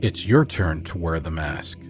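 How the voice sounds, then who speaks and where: A man's voice: a bass voice, lively intonation, measured pace. A man hosting a horror story podcast, announcing the next tale and starting to read it. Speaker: podcast host and narrator. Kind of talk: podcast introduction and story narration. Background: music.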